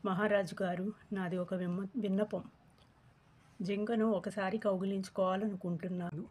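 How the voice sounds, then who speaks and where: Woman voicing a husky, subdued humble villager. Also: mid-range voice, flat delivery, normal speed, darkish tone, natural voice. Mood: pleading. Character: humble, stubbornly devoted poor village man. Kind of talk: acted cartoon story dialogue.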